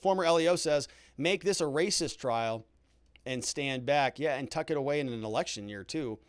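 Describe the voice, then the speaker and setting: A man's voice: medium pitch, normal speed, clean tone, no room sound. A middle-aged American man hosting a livestream, reading out viewer comments and adding wry, conversational commentary. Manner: wry, conversational, joking asides. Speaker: livestream host and legal commentator.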